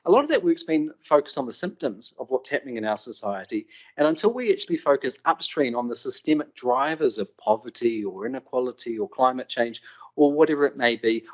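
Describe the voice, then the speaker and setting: A man, an advocacy group co-founder, giving an earnest, campaigning introductory speech. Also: mid-range voice. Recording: slightly dull sound.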